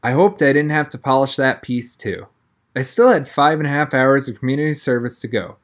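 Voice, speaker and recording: Conversational storybook reader, medium pitch. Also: brisk. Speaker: audiobook reader. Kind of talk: scripted first-person story narration.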